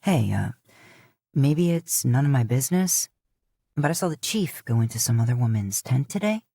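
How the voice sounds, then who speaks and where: Teasing young cowboy with a low, easy voice, read by a woman. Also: very animated, normal speed, creaky, warm tone, slightly rough, dry recording, studio quality, heavily acted. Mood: teasing, casual. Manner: easygoing, teasing drawl, lowered voice. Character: teasing cowboy ex-soldier, young adult man.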